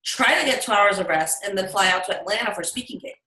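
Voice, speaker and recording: A woman guest on a video call, hoarse from illness, chatty and laughing. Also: medium pitch, expressive, quick pace, pushed and bright, echoey room, low-quality recording.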